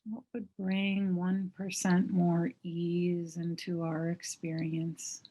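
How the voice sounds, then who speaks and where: A woman teaching mindfulness, leading a guided meditation in a gentle, unhurried manner with long pauses. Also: fairly low pitch, completely flat delivery, low-quality recording.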